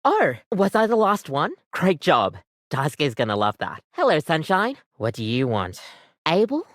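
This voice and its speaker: A woman's voice: rumbling low, no room sound, clean studio sound. A woman voicing a friendly, chatty coffee maker come to life as a barista in a video game, with bubbly, slightly awkward customer patter. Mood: familiar, teasing. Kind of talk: animated video game voice acting.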